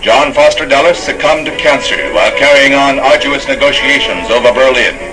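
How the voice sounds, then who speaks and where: A man's voice: medium pitch, normal speed, poor audio. An American man reading scripted 1960s newsreel narration in a formal, emphatic announcer delivery. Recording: archival newsreel soundtrack with loud music.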